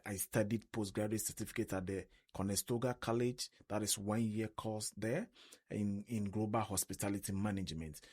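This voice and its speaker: Earnest Ghanaian man with a low voice. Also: darkish tone. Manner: earnest, repeats words and uses many "I mean" fillers. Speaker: co-host. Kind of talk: casual radio interview.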